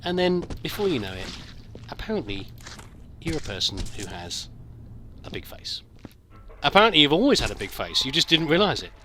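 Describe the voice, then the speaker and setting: Brief: middle-aged British man, bright voice, rambling game-commentary storyteller, faint hiss behind. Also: on the high side, big pitch swings, a little fast, pauses often. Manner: rambling, self-deprecating, hesitant restarts. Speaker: gameplay commentator. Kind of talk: casual storytelling over gameplay.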